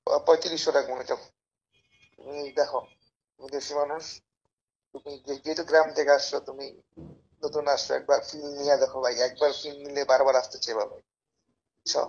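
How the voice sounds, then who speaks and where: A Bangladeshi man making an informal phone sales pitch in a pushy, persuasive, repetitive way. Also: little pitch movement, brisk, pauses often, breathy edge, muffled recording. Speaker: caller pitching a service as a tout.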